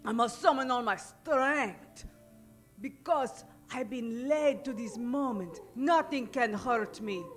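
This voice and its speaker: A woman's voice: on the high side, soft background music. A woman playing a scheming, haughty Mexican villainess who frightens easily, in a melodramatic comedic old-time radio style. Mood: grandly determined. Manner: melodramatic, halting delivery.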